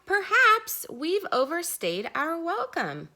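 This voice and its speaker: A woman's voice: very high voice, wildly swooping pitch, takes it slowly, sharp tone, pitched above the performer's own voice, heavily acted. A woman voicing a small, polite young chick with a chirpy manner in a storybook.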